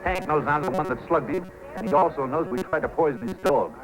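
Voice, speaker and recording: An actor playing a scheming, hard-nosed villain in an old-time radio adventure drama. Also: male voice, fairly high pitch, a little fast, close to an everyday voice, rough recording. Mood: urgent, scheming. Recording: old radio recording with very loud music.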